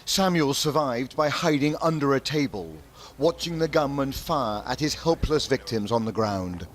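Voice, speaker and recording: A man's voice: mid-range voice, moderate pace. Male reporter, sober narration, light background hum. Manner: calm, grave narration. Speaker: foreign correspondent. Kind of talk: scripted news report and interviewing.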